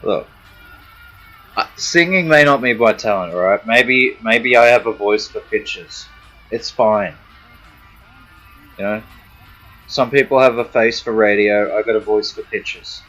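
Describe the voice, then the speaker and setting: A man's voice: lowish voice, unhurried, long pauses, bright, forceful tone, faint music behind, poor audio. A man hosting a live stream, chatting casually and bluntly, grumbling and swearing freely. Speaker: stream host. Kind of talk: casual chat.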